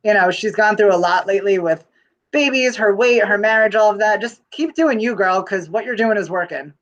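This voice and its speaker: Playful breezy guest, mid pitch, muffled call audio. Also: brisk, a bit bright. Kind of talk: casual interview.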